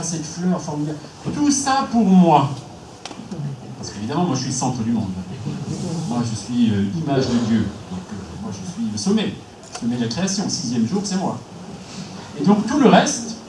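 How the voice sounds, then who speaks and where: A priest giving a lively conference talk on faith and ecology, acting out inner voices, with frequent restarts. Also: male voice, on the high side, a little hiss or hum. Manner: animated, acts out inner voices, many restarts. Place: hall. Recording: echoey hall, distant mic, faint background.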